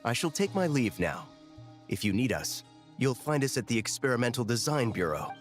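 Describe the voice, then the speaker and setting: An actor voicing a polite, dutiful soldier in a video game, speaking formally and with measure. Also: male voice, medium pitch, sing-song, moderate pace, faint music behind, natural voice.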